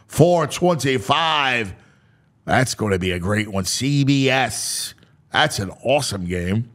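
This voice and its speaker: A man hosting a sports talk show, mixing dramatic, drawn-out delivery with casual, offhand asides. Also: mid-range voice, gravelly, a bit bright.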